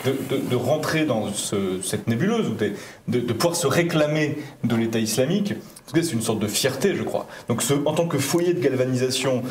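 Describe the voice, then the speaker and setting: A man's voice: medium pitch, animated, fairly quick, slight background noise. Insistent man in a roomy studio. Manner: insistent, repeats himself when interrupted. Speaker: debate guest, lecturer. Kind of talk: heated TV panel debate.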